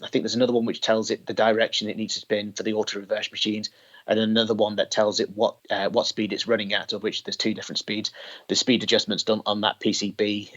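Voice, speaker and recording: A man's voice: lowish voice, flat delivery, quick pace, fairly bright tone. A man chatting as an interview guest, explaining technical details at length. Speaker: podcast guest, tape machine collector. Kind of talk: conversational interview. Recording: dull, lo-fi sound.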